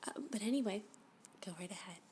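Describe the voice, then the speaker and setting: A woman playing a flirty, obsessive, unhinged admirer in an intimate ASMR roleplay, coy and rambling, often slipping into breathy half-whispers. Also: medium pitch, quick pace, warm tone, a little hiss or hum, lightly acted. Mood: flattered, flirty. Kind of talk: ASMR roleplay, intimate one-sided dialogue.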